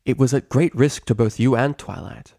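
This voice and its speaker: A man's voice: mid-range voice, moderate pace, creaky, warm tone, dry recording, clean studio sound, pitched above the performer's own voice, lightly acted. A man voicing a stern, regal female pony ruler and mentor in a story reading. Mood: firm, worried. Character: stern regal mare princess, mentor ruler, angry then motherly.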